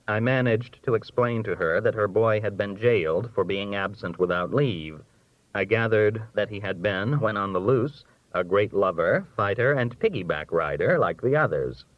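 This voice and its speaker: A man narrating a humorous literary essay in a measured, expressive, dryly delivered storytelling style. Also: on the low side, no echo, dull audio. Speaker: audiobook reader.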